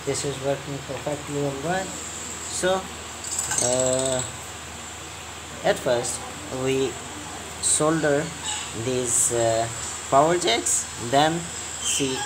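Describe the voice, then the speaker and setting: A man giving a step-by-step hands-on repair tutorial as a technician, in halting, repetitive short phrases. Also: medium pitch. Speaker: repair technician and channel host. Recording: clear steady hum of room noise.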